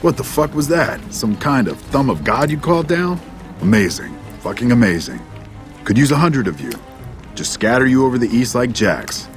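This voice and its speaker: An actor playing a swaggering, foul-mouthed middle-aged army general in a video game, with a folksy, blustering manner. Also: male voice, mid-range voice, very animated, moderate pace, soft background music. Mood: amazed bluster. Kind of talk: video game character dialogue.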